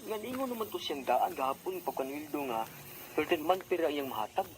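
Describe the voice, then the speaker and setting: A man's voice: a little high, very animated, fairly quick, slight background noise, low-quality recording. A man acting an aggrieved working husband in a radio drama, earnest and easily upset, with a Cebuano Filipino accent. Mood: matter-of-fact.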